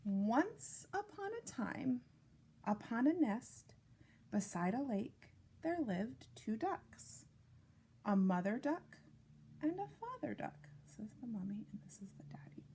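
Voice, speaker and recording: A woman reading a picture book aloud to young children, with deliberate, expressive phrasing and casual asides. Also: a little high, breathy edge. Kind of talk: read-aloud storytime narration with casual asides.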